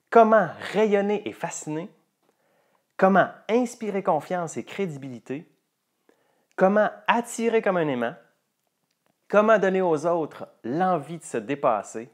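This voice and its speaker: A man with a Quebec French accent, a coach telling personal stories and presenting a course to viewers. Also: on the high side.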